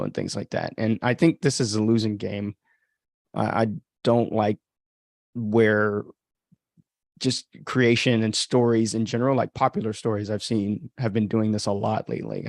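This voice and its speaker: A man's voice: on the low side, gravelly, a little mellow, no room sound, studio quality. A man from the United States giving casual, opinionated, rambling livestream commentary as a podcast host. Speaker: podcast host and author commenting on own story.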